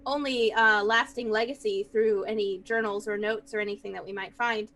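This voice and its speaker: A woman playing a tabletop roleplaying game, improvising in character in a halting, particular way. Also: medium pitch, fairly even intonation, fairly bright tone. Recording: faint background noise.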